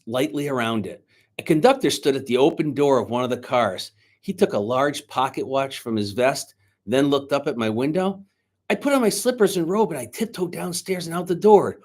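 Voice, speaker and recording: A man speaking to schoolchildren as their teacher, reading a storybook aloud in an expressive, dramatic way. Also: fairly high pitch, normal speed. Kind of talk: children's storybook read-aloud and chat to pupils. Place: indoors, recorded remotely on video.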